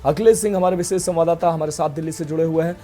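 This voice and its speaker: Mellow, higher-voiced male news anchor. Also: fairly even intonation, racing. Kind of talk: breaking news announcement, partly ad-libbed. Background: faint music.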